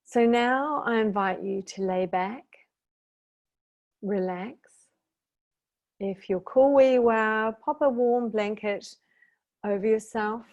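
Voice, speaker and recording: A woman guiding a meditation as a teacher, speaking soothingly and gently in short phrases with long pauses. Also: fairly high pitch, highs cut off. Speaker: guided meditation teacher and intuitive therapist. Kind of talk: guided meditation, slow calming instruction.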